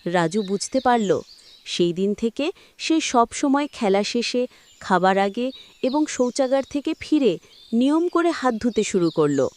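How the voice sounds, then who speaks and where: A woman's voice: a little high, sing-song, unhurried, a little mellow. A woman narrating a scripted children's story as the storyteller.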